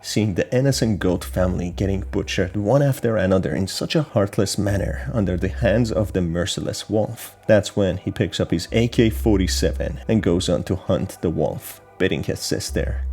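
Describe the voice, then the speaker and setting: A man's voice: sits low, vocal fry, darkish tone, breathy edge. A man reading a scripted explanation of a horror story as narrator. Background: background music.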